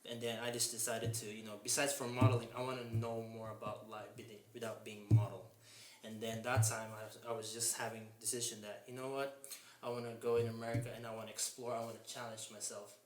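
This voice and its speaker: A man's voice: medium pitch, level tone. Earnest young man, echoey room. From Japan. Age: 20s. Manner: earnest, halting, strings thoughts with "and then". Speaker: guest, fitness mentor. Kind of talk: casual podcast interview. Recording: echoey room with faint noise.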